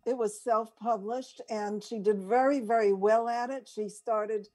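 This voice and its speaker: A woman's voice: a little high, fairly even intonation, unhurried, mellow. An older woman co-hosting a radio show, chatting and telling stories casually in a rambling, easily sidetracked way. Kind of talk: casual chat and storytelling.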